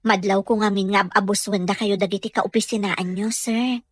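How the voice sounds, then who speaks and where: A woman's voice: mid-range voice, steady tone, moderate pace, dry recording, studio quality, close to an everyday voice. A woman playing a patient, caring caregiver and mother in an Ilocano audio drama. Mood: encouraging. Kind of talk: Ilocano radio drama acting.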